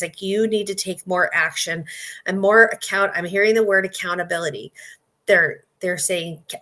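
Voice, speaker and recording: Woman, fifties, tarot reader. Bright, forward voice at average pitch, halting casual delivery with repeated phrases. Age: 50s. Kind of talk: casual spoken psychic reading.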